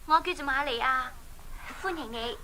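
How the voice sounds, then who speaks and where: A gentle, secretive young princess in a radio play. She speaks with a Hong Kong Cantonese accent in a high, bright voice. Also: normal speed, low-quality recording. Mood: polite. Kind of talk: 1980s Cantonese children's audio drama.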